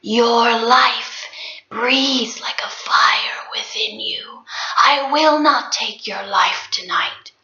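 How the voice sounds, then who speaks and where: A woman's voice: a little high, sharp tone, full character voice. Whispering, menacing ghostly snow woman. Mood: cold menace. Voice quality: icy breathy whisper. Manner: slow, deliberate, threatening. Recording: roomy, slightly dull sound.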